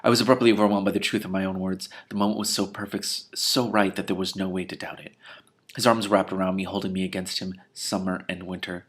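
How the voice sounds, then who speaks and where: A man's voice: sits low, level tone, quick pace, a bit bright. A man reading a novel aloud as host, breaking into casual, sarcastic and irreverent commentary. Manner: sarcastic, irreverent, incredulous outbursts between read passages.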